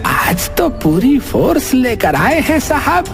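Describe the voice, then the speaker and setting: A man playing a greedy, bribing village shopkeeper in a cartoon story, wheedling and fawning with drawn-out words. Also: extremely high pitch, sing-song, gravelly, exaggerated voice. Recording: music behind the voice.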